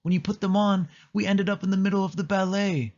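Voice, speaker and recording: A man's voice: high voice, fairly even intonation, fairly quick, a little mellow, lo-fi sound, voice pushed up. A man voicing a worried young girl in a children's storybook, explaining things urgently. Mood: urgent realization. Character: worried young girl, the dancer's best friend.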